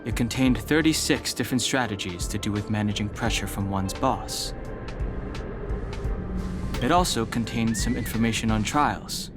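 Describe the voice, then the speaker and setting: A man's voice: fairly low pitch, normal speed, music in the background, natural voice. An actor playing a reserved young male guard in a video game, serious and matter-of-fact.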